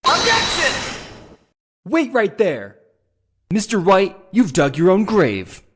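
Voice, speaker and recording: A man's voice: high-pitched, huge pitch swings, slow, fairly bright tone, husky, full character voice. A man playing an earnest, sardonic young defense lawyer in a video game courtroom drama, with emphatic delivery. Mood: accusing, confident. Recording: music behind the voice.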